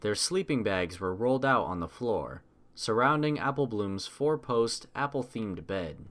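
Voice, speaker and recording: A man's voice: fairly low pitch, lively intonation, a little slow, a bit bright. A man reading scripted story narration as an audiobook narrator.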